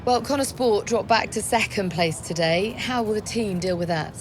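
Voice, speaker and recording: An actress playing a professional, probing sports reporter putting questions in a video game press interview. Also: female voice, medium pitch, moderate pace, natural voice. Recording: clear background ambience noise.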